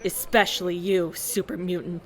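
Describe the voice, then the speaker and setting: A woman's voice: fairly low pitch, little pitch movement, normal speed, close to an everyday voice. A woman playing a suspicious, aggressive wasteland sheriff in an audio drama, curt and hostile. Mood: threatening.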